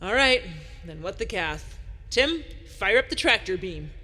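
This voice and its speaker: A woman's voice: mid-range voice, sing-song, measured pace, sharp tone, husky, soft background music, heavily acted. A woman playing a brash, commanding space pirate captain in an audio drama, dramatic and daring.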